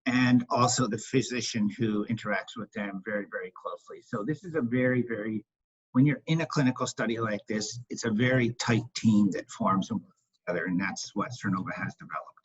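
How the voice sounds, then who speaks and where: A husky man speaking as a company executive, answering questions on an investor call in a halting way, repeating words for emphasis. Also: on the low side. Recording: dull, lo-fi conference call audio.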